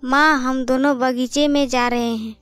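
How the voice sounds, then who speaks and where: A woman plays a young cartoon girl, polite and earnest, devoted to her family. The voice is very high and smooth, closely recorded over music. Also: steady tone, a little slow, fairly bright tone, dry recording. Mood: affectionate.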